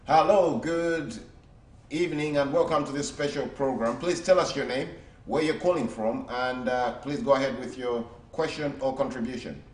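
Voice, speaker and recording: Brief: male call-in show host, halting and filler-heavy, heard from a distance in a roomy studio with faint hiss. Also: fairly even intonation, darkish tone. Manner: hesitant, many filler "uh"s, then booming greetings. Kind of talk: live call-in TV program.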